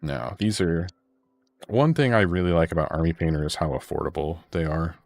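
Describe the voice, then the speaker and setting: A man chatting casually during a livestream while he paints miniatures, relaxed and rambling, often correcting himself. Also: low-pitched, brisk, gravelly, faint music behind.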